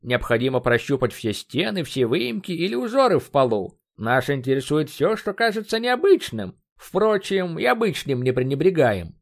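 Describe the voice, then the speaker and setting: An eager, pedantic professor from an audiobook, acted out by a man. His voice sits fairly high and swings widely in pitch. He lectures in a formal, businesslike way, full of scholarly zeal. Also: sing-song, normal speed, creaky, hardly any pauses.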